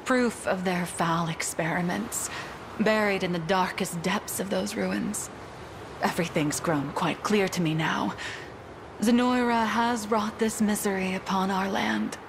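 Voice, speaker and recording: An actress playing a proud, loyal wyvern-riding military commander in a fantasy game, with a formal, haughty, measured delivery. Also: female voice, medium pitch, noisy background, close to an everyday voice. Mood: earnest, grave. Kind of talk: dramatic fantasy game voice acting. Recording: loud orchestral music behind.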